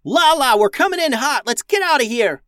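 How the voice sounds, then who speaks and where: A man voicing Captain Max, a bold, hot-headed woman who commands a starship crew and shouts her orders, in a storybook reading. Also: lowish voice, very animated, measured pace, no room sound, clean studio sound, voice pushed up. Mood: shouting orders.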